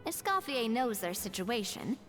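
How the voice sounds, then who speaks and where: A woman voicing a friendly, poised young woman in a video game, cheerful, conversational and gently teasing. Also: a little high, sing-song, normal speed, faint music behind. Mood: warm, teasing.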